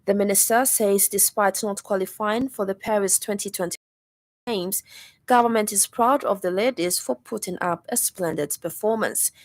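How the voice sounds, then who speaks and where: A woman's voice: mid-range voice, moderate pace, no room sound. Female Zambian news reader for radio bulletin, formal scripted delivery, mid-range voice, dry studio sound.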